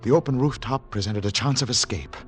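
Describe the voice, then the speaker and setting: An actor playing a haunted secret agent on the run, narrating in dramatic, deliberate, clipped phrases in 1940s radio thriller style. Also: male voice, medium pitch, faint music behind, close to an everyday voice. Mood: urgent, controlled. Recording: noisy 1940s radio recording with clicks.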